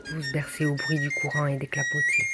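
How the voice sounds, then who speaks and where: A person from southwest France reciting a poem in measured, declamatory phrases with deliberate pauses. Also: mid-range voice, little pitch movement, loud music behind the voice. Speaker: poem reader. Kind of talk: recited spoken-word poem.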